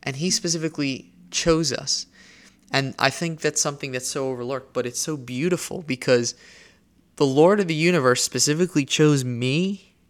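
A man's voice: fairly high pitch, normal speed. A young man from Spain hosting a solo faith podcast, speaking earnestly and reflectively, often restarting and repeating words as he thinks aloud. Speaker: podcast host.

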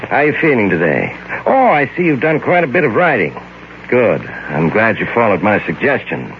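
An actor in a 1940s-style radio drama playing a composed, paternal psychiatrist, speaking in a measured, formal, soothing way. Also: male voice, lowish voice, very animated, a little hiss or hum. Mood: calm, reassuring. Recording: dull old radio recording, faint music.

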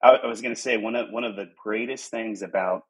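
A man in his forties with a low, husky voice, telling stories in a loose, casual chat. He talks animatedly and often restarts or repeats words as he goes. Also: fairly even intonation, fast talker, fairly bright tone. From Pittsburgh. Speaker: podcast guest. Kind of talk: casual conversation.

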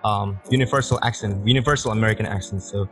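A young man with an Indonesian accent and a deep bass voice, chatting casually as a guest and often repeating words while he thinks. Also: fast, over music, low-quality recording. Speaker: interviewee. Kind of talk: casual conversation.

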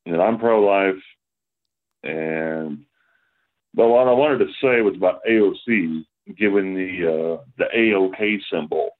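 A man's voice: deep voice, a little slow. A man calling in to a show, talking in an earnest way and praising the host. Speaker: caller. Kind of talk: call-in show chat. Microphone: phone. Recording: tinny phone line.

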